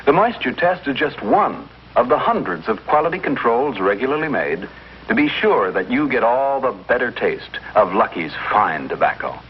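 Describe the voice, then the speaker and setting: Lively 1950s commercial announcer, man, dull recording. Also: normal speed, slight background noise. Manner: emphatic, polished sales pitch. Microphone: voice-over for filmed commercial.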